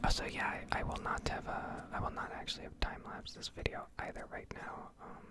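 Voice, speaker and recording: Female ASMR gaming host: breathy whisper, close-miked, rambling and unhurried. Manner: unhurried, rambling, thinking aloud.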